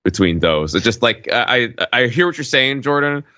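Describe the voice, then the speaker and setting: A man's voice: mid-range voice, animated, normal speed, bright, forceful tone. A man on a podcast panel chatting casually and giving opinions, on a slightly dull recording.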